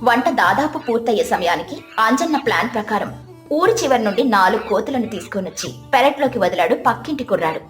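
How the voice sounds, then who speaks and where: A woman's voice: fairly high pitch, lively intonation, brisk, roomy sound, soft background music. A woman reading a scripted moral story as its narrator.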